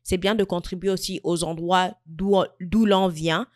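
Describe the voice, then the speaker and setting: A woman in her mid-twenties from Equatorial Guinea, talking thoughtfully to camera in a personal vlog, with frequent pauses and restarts. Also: lowish voice, steady tone, a little mellow, dry recording, clean studio sound. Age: 20s. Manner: thoughtful, searching, many fillers and restarts. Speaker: vlogger. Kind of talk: reflective personal talk to camera.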